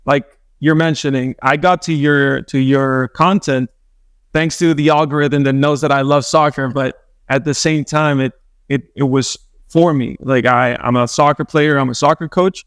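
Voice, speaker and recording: A man's voice: medium pitch, steady tone, moderate pace, fairly bright tone, clear, resonant tone, no echo, clean studio sound. A man hosting an in-person podcast interview, effusive and enthusiastic, slipping in the odd Spanish phrase, speaking into a handheld mic. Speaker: podcast host.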